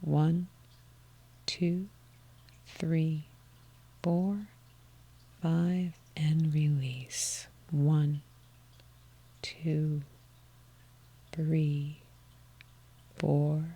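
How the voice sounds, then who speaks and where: A middle-aged woman co-hosting a wellness podcast, calmly guiding a breathing exercise and often dropping toward a whisper as she counts. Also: deep voice, very slow, pauses often, breathy edge. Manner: soft-spoken, drops toward a whisper while counting. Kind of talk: calm guided breathing instruction.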